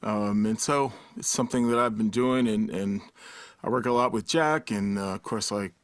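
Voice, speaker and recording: An older man from Massachusetts, casually introducing himself on a panel in a halting way. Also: fairly low pitch, no room sound. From Massachusetts, United States. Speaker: theater professor, panelist.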